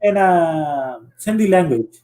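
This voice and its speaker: A man's voice: fairly high pitch, expressive, slow pace, dark tone, soft background music. A man in a casual conversation who talks hesitantly and leans on fillers.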